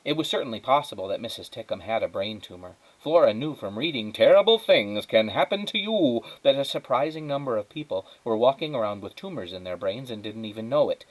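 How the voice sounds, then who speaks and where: A man reading a children's story aloud as a teacher, in expressive narration. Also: medium pitch, fairly quick, hardly any pauses.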